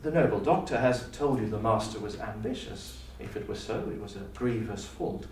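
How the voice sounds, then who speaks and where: A man's voice: fairly low pitch, lively intonation, moderate pace. A man speaking as an auditioning actor, recorded in a room. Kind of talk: audition monologue, pitch and casual interview. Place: echoey university room. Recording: very echoey room, distant mic, faint noise.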